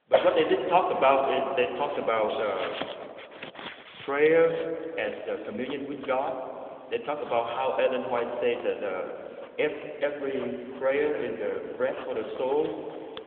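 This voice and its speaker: A man's voice: medium pitch, a little hiss or hum. A man from Vietnam talking earnestly to a small church group, asking questions and restarting often. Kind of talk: casual talk to a small group. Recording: echoey hall from far off, phone-like.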